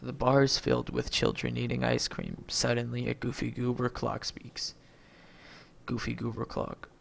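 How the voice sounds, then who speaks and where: Gravelly amateur man reading a script aloud. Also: mid-range voice, completely flat delivery, normal speed, slight background noise. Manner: reads names and punctuation aloud, stumbling over words. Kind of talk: reading a movie script aloud, stage directions and all lines.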